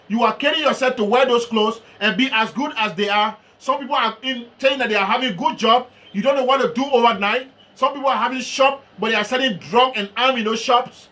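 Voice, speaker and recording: Liberian male preacher, very high bright voice, slow emphatic sermon delivery.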